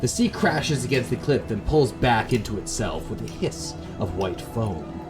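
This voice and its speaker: A man reads horror game narration aloud over clear atmospheric music. His voice is lowish and moves slowly, with lively swings in pitch and casual asides between the dramatic passages. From Canada. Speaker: gamer reading a visual novel aloud.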